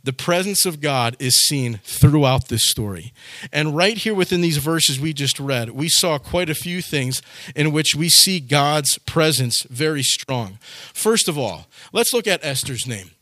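A man's voice: on the high side, lively intonation, a little slow, bright, forceful tone, no room sound. A man preaching a sermon in a conversational, folksy way, with frequent repeated words and side remarks. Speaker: pastor.

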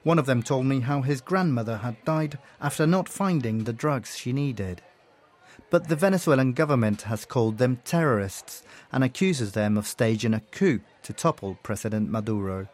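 Guy correspondent reading his news report, calm and measured, mid pitch. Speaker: foreign correspondent. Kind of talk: scripted radio report.

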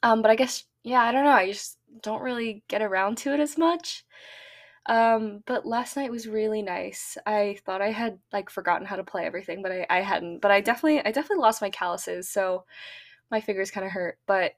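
A woman's voice: mid-range voice, brisk. A young woman hosting a podcast who talks in a chatty, upbeat way and uses lots of fillers. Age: young adult. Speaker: podcast host. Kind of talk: casual podcast monologue.

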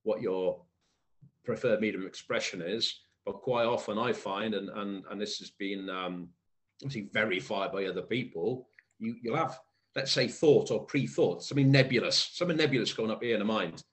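A man from South Wales with a faint Welsh accent, a poet guest talking slowly and thoughtfully, often pausing and restarting to find the right word. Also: lowish voice. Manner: thoughtful, hesitant, searching for words, many restarts. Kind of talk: reflective conversational interview.

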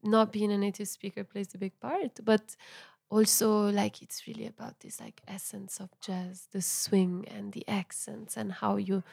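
A young woman whose first language is Italian talks loosely about her music, her voice carrying a light gravelly fry. She fills her sentences with little fillers and soft laughs at a mid pitch. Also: very animated, a little slow, hardly any pauses. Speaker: musician guest. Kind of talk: casual interview chat.

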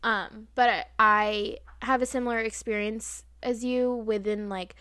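American woman, young co-host, bright-leaning pitch, chatty self-deprecating, dry recording. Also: steady tone, unhurried, smooth, no room sound. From United States. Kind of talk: casual chat.

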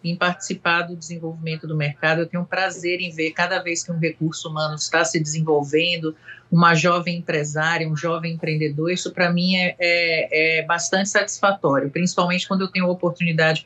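A middle-aged woman with a Bahian accent, speaking as a mentor in a panel conversation in a measured, reflective way with frequent hesitations. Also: lowish voice, a bit bright, lo-fi sound. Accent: Bahian Portuguese. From Salvador, Brazil. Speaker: mentor and guest.

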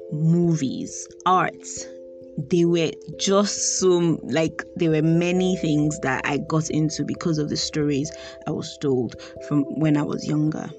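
Nigerian woman, young, low voice, talks slow and reflective, soft music behind. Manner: unhurried and thoughtful, with pauses to search for words. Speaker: storyteller. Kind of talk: reflective personal storytelling.

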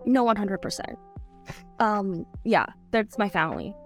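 A woman's voice: mid-range voice, lively intonation, a little slow, faint music behind. A woman from Canada, chatting casually as a podcast guest in a rambling way, full of "like". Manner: rambling, chatty, heavy use of "like". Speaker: guest author.

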